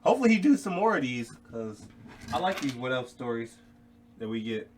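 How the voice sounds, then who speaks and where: A man hosting a channel and chatting casually to his viewers, recorded quietly. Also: mid-range voice, sing-song, brisk, a little hiss or hum. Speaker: channel host. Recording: quiet, distant from the mic.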